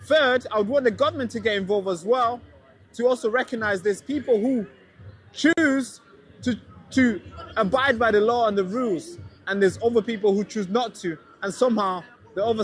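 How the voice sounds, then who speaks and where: A man's voice: high voice, moderate pace. A man from Manchester giving earnest, rambling answers in a street interview at a protest.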